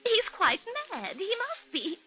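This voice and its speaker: A frightened woman from a vintage Australian radio serial, with an Australian accent. Her voice sits high and is piercingly bright. She pleads in a breathless, theatrical way and is quick to defend herself. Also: big pitch swings, soft background music, full character voice. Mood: scared, pleading. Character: frightened, melodramatic, defensive woman living alone. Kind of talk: old-time radio drama acting.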